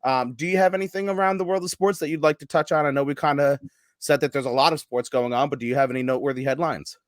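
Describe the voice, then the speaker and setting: A man co-hosting a casual sports podcast, animated and profane, now and then bursting into loud yelling. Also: on the high side, quick pace, no room sound, clean studio sound. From United States. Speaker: podcast co-host.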